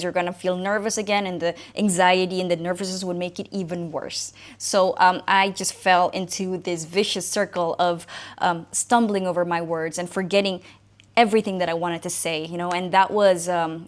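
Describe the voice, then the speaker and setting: A woman in her thirties from Indonesia, whose first language is Indonesian, speaking as an English coach who tells her own story to the camera in a reflective, conversational way. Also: lowish voice. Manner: conversational, reflective, frequent "you know" and "like". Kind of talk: personal talk to camera.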